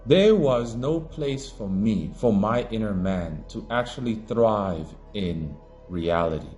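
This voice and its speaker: Man from Africa, low voice, preachy ranting commentator, faint music underneath. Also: expressive, takes it slowly, a little mellow. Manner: preachy, emphatic, swings between raised declaring and low muttering. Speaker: online commentator. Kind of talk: impassioned monologue to camera.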